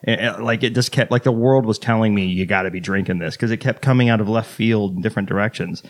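Man, podcast co-host, low voice, rambling, dry sound. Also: fast, dry recording. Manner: rambling, loose storytelling. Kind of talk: casual podcast chat.

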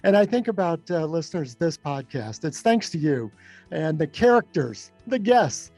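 A man's voice: on the high side, big pitch swings, moderate pace, darkish tone, faint music behind. A man speaking as the host of an interview podcast.

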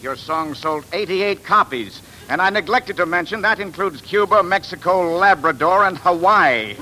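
Bright, sarcastic music publisher. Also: male voice, high-pitched, lively intonation, normal speed. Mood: irritated. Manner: mocking, brusque. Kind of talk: 1940s radio acting. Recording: faintly noisy old radio broadcast.

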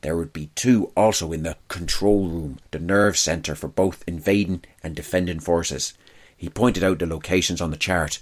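A man's voice: sits low, steady tone, normal speed. A man reading a first-person wartime diary aloud as scripted narration.

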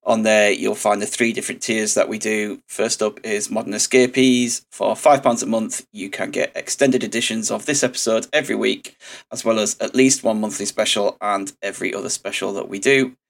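A man co-hosting a casual podcast, his lowish voice a bit croaky. He talks hesitantly, filling gaps with ums and uhs. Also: steady tone, moderate pace. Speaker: podcast co-host. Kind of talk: casual chat.

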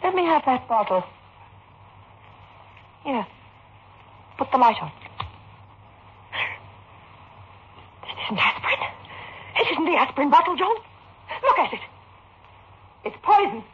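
Fearful, accusing wife, high and highly animated, theatrical radio horror melodrama on a muffled old recording. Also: female voice, moderate pace, long pauses. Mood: horrified accusing. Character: frightened, accusing wife of a doctor. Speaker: radio drama actress. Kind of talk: 1960s radio horror acting.

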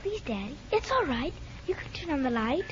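A woman's voice: high-pitched, big pitch swings, brisk. A woman playing an earnest, trusting little girl, childlike and pleading, in a 1950s radio drama. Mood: eager, pleading. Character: earnest, trusting little girl who adores her daddy. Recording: muffled, noisy old radio recording.

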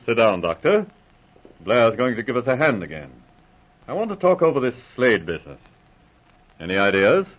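A friendly army colonel police chief, old radio play, lively pitch, bit rough, muffled. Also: male voice, moderate pace, pauses often. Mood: brisk. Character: genial county police chief, a married colonel. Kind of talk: 1940s radio acting.

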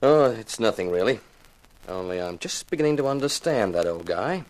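Man playing a tough private investigator, low voice, wry laconic 50s radio noir storytelling. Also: expressive, moderate pace, a little mellow, close to an everyday voice, rough recording. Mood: casual, subdued. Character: tough hard-boiled male insurance investigator. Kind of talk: 1950s radio detective narration.

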